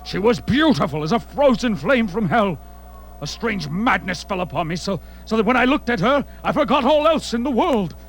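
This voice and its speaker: A man plays a battle-worn barbarian warrior in a fantasy audio drama. His fairly high voice ranges widely, intense and bewildered, in halting archaic phrases with faint music behind. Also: very animated, poor audio. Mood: fevered.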